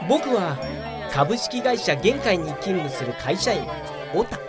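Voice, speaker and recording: A woman's voice: fairly low pitch, sing-song, takes it slowly, loud music behind the voice. A woman playing a young male office worker in an audio drama, self-assured and grumbling. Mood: aggrieved. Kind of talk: Japanese audio drama acting.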